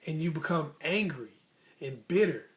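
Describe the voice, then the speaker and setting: American guy, kinda high voice, casual storytime with life advice, repeats stuff to make the point. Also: animated, normal speed. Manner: conversational, emphatic, repeats phrases for emphasis. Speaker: host of a motivational storytelling vlog.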